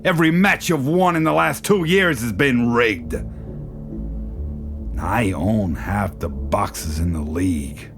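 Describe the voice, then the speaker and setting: A smug crime boss from an audio drama. He speaks slowly in a low voice that swings widely as he taunts. The menace is drawn out and contemptuous, with music underneath. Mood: smug, mocking.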